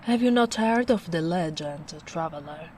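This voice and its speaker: A woman playing a gentle, caring big ogre in a soft-spoken ASMR roleplay, musing in an unhurried way. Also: fairly low pitch, expressive, a little hiss or hum, natural voice. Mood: concerned.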